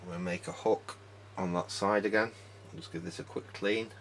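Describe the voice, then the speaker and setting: A man's voice: lowish voice, steady tone, moderate pace, creaky, a bit bright, a little hiss or hum. A man giving casual, hands-on repair commentary, muttering informally step by step as he works. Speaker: hobbyist electronics repairer and presenter.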